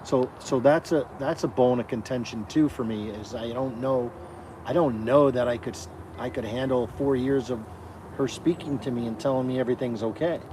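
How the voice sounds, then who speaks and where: A man's voice: medium pitch, normal speed, noisy background. Hesitant man chatting on a livestream. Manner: hesitant, repeats and restarts a lot. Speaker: co-host. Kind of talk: casual livestream chat.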